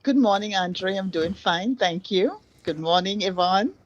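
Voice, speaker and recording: A woman's voice: mid-range voice, animated, a little slow, muffled recording. A woman, an ambassador, speaking graciously as a guest on a radio interview.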